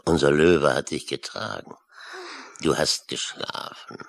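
A man playing a gruff, hearty sailor uncle in a children's audio drama, with a gravelly voice. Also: extremely low pitch, unhurried, fairly bright tone, heavily acted. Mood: reassuring.

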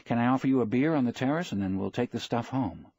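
A man's voice: mid-range voice, expressive, quick pace, darkish tone, breathy edge, dry recording, highs cut off, pitched above the performer's own voice. An earnest young boy, put on by a male audiobook narrator, affectionate and loyal. He speaks eagerly and respectfully, in a close, dry sound.

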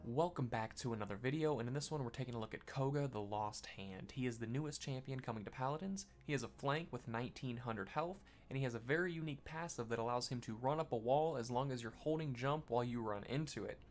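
A man's voice: medium pitch, fast talker, few pauses, soft background music. A man hosting a gaming video, reading scripted explanatory narration about a game.

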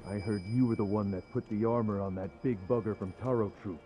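An actor playing a gossiping, earthy castle guard in a video game, conversational. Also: male voice, lowish voice, steady tone, brisk, lightly acted. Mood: gossiping, wary. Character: rough, gossiping adult male castle soldier on guard. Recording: very noisy outdoor ambience over voice.

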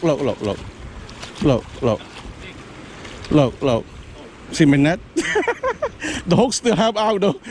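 A man giving excited running commentary while he fishes from a riverbank, talking to himself and repeating words. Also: high voice. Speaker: fishing vlogger. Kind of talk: live commentary while fishing. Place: outdoors on a riverbank. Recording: outdoor recording with steady background noise.

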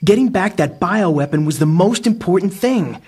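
A man's voice: high-pitched, lively intonation, moderate pace, warm tone, husky. A man playing an earnest, determined teenage boy hero in a comic audio drama, youthful and principled. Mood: defensive. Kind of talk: dramatized comic audio acting and inner narration. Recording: faint noise with clicks.

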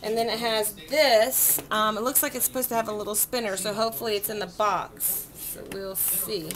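A woman's voice: medium pitch, steady tone, normal speed, crowd noise. A woman in her thirties, a mother at a kitchen table at home, patiently walking her young daughter through a board game, reading the rules aloud and counting the moves.

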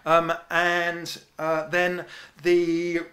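A man's voice: on the high side, completely flat delivery, extremely slow, bright, forceful tone. A man, co-hosting a casual sports podcast and explaining things in loose, approximate terms. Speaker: podcast co-host and analyst.

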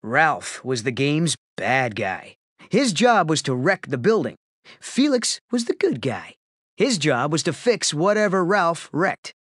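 A man narrating a children's story from a script, with expressive storytelling emphasis. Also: a little high, a little slow, dry recording, studio quality.

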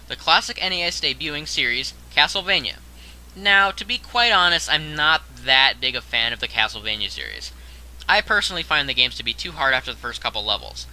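A man's voice: medium pitch, sharp tone, low-quality recording. A young man narrating a scripted top-ten countdown as host, in a conversational way that addresses the viewer directly.

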